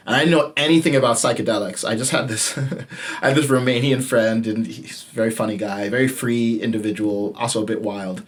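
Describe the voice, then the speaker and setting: A man in his late twenties hosting a podcast and telling his own story. He talks in a candid, casual way and swears freely. On the points that matter most to him, his voice drops to a low murmur. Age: late 20s. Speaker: podcast host. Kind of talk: personal storytelling monologue.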